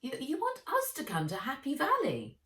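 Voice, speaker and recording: A woman's voice: sits low, lively intonation, a little slow. A woman voicing a plucky, earnest young girl with an eager manner in a children's storybook. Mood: anxious. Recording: roomy echo, distant from mic.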